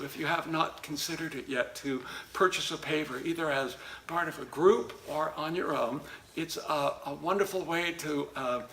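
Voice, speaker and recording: A man's voice: a little high, pushed and bright. A man, a church member, giving an earnest prepared testimonial video with slight hesitations. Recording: echoey room with faint noise.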